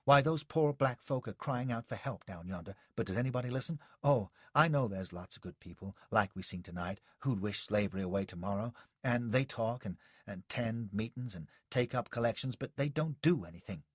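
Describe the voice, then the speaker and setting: A man's voice: animated, brisk, hardly any pauses, slightly rough, no room sound. A man doing a fervent young frontiersman. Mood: fervent confiding. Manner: solemn, fervent, low-voiced confiding. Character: earnest young male frontier fighter, zealous.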